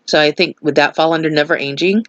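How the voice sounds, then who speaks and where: A woman's voice: sits low, fairly even intonation, fast talker, fairly bright tone, dry recording, muffled recording. Stuffy-nosed woman chatting, dry sound. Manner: rambling, opinionated asides. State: stuffy nose, slightly nasal. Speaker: vlogger. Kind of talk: casual chat.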